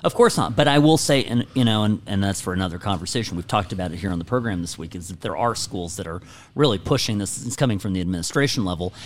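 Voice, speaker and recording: A man's voice: on the low side, animated, quick pace. Emphatic male talk show host. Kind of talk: opinionated talk radio conversation.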